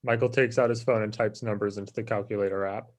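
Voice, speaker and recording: A man's voice: on the low side, fairly even intonation, fast talker. A man giving scripted narration, reading out the stage directions of a screenplay.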